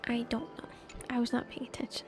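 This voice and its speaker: A woman's voice: on the high side, little pitch movement, brisk, whispered. A woman giving casual commentary as she streams a video game. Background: game music.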